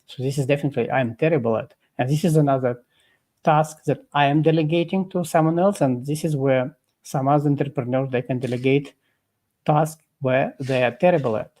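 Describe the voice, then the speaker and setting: A man's voice: mid-range voice, darkish tone. Guy guest on a video call, mid voice, keeps stopping and restarting, lots of uhs. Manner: halting, many fillers and restarts. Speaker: guest expert. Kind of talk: explaining in a live interview.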